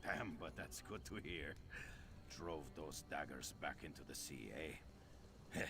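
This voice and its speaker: An actor playing a gruff, weary fantasy-game sergeant, blunt and plain-spoken, with a deep gravelly voice. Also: male voice, measured pace, background noise. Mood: gruff, approving. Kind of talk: video game character acting.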